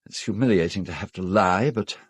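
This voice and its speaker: An actor playing a fastidious, polite British gentleman host in an audio drama, measured and slightly diffident. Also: low voice, husky, dry recording, clean studio sound. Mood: discreet, troubled. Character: courteous, fastidious gentleman host of an island estate.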